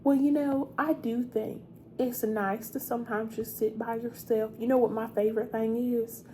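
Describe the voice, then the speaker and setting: A woman playing a sweet, chatty, gossipy hotel receptionist in a soft-spoken, confiding ASMR roleplay, repeating her words. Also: a little high, level tone, moderate pace, slight background noise, natural voice, rough recording. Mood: helpful, friendly.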